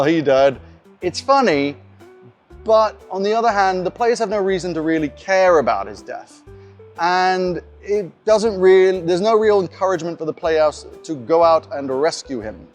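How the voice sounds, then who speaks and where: A man talking casually in an explanatory vlog as a game master sharing tips, in a conversational way. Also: a little high.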